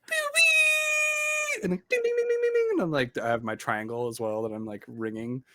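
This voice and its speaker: A man with a hoarse voice playing a tabletop game, talking animatedly and in quick bursts. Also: fairly high pitch, fairly even intonation, sharp tone, dry recording. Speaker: player.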